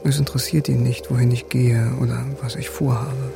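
An actor playing a cold, haunted soldier who narrates in a terse, detached, deliberate way in a radio drama. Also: male voice, medium pitch, level tone, vocal fry, noisy background, close to an everyday voice. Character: cold, haunted male soldier turned lone avenger. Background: music.

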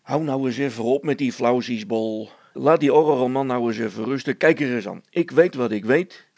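Storybook role: male narrator as gossipy, pompous village policeman, creaky voice, hesitant and bossy, dry sound. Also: medium pitch, moderate pace, darkish tone, dry recording. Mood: secretive. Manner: hesitant, confiding, bossy, stammering over words. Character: gossipy, self-important village policeman, adult man.

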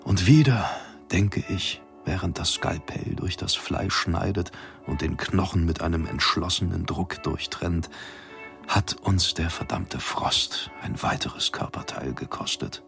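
An actor playing a solemn, formal polar expedition captain in a radio play, grave and gravelly, with stately old-fashioned diction. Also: male voice, sits low, unhurried, fairly bright tone, faint music behind, exaggerated voice. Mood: grim. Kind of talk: dramatic radio play monologue.

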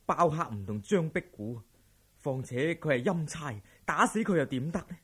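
Man playing a cautious, husky Cantonese scheming official. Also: a little high, lively intonation, moderate pace, a bit bright. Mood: placating, scheming. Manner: anxious, persuasive, placating. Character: cautious, scheming corrupt male grain official. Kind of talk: Cantonese audio drama acting.

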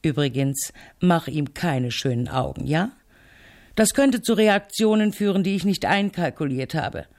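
An actress playing a headstrong, sardonic middle-aged crime novelist in a radio play, assertive, dry and teasing. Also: female voice, low-pitched, expressive, unhurried, a little mellow. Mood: imperious, sardonic. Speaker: radio drama actor. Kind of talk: German radio play dialogue.

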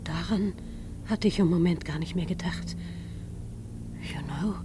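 A woman's voice: lowish voice, unhurried, noisy background, lightly acted. An actress playing a chatty traveling woman who mixes English into her speech, in a radio play. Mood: murmuring, moved. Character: chatty traveling woman mixing English into German, anxious about her visa. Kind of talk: German radio play acting.

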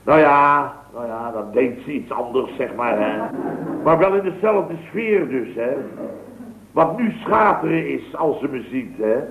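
A man's voice: mid-range voice, animated, unhurried, vocal fry, a little hiss or hum. A man playing a boastful, rambling contractor in a 1960s radio comedy. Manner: rambling, boastful, full of tag words. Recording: tinny, echoey old radio broadcast.

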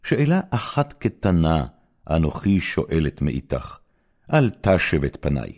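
Guy doing a bible queen mother, polite and formal, israeli accent, husky, lilting up and down. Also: a bass voice, big pitch swings, a little mellow, full character voice. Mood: polite, pleading. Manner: formal, courteous. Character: queen mother making a courteous request of her son. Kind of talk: dramatic scripture reading.

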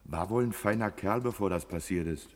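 Actor as deep-voiced new court constable, echoing hall. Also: male voice, a little fast, a little hiss or hum, close to an everyday voice. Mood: curious. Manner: colloquial, blunt. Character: naive chatty male junior court constable, new on the job. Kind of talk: German radio play acting. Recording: long reverberant hall.

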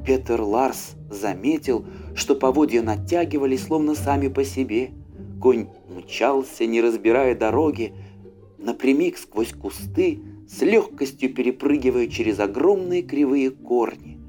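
Bright-voiced man telling a fairy tale. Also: medium pitch, unhurried. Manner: dramatic storyteller delivery. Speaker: audiobook narrator. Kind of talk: expressive fairy-tale narration. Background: faint music.

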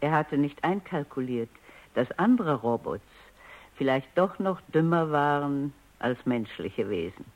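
A woman's voice: deep voice, lively intonation, measured pace, a little mellow, slight background noise, rough recording. Precise, self-assured woman scientist. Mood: composed, explanatory. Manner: precise, lecturing, composed. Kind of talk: German radio drama acting.

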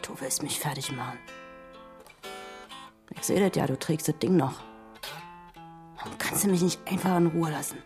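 An actress playing a bitter, worn-out ex-wife in a naturalistic radio play, accusing in a hushed voice. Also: female voice, deep voice, moderate pace, pauses often, soft background music. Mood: hurt, accusing. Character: bitter, worn-out ex-wife, pleading to be left alone.

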